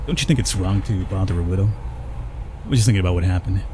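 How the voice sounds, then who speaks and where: A man's voice: low-pitched, fast, background noise. A man doing a let's play, reading game dialogue aloud and commenting in a casual, hesitant, conversational way.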